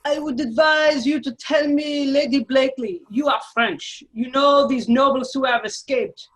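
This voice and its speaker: A woman playing a menacing, coldly scheming male French agent in an amateur radio play read, insinuating and deliberate. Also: high voice, poor audio. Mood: cold, scornful.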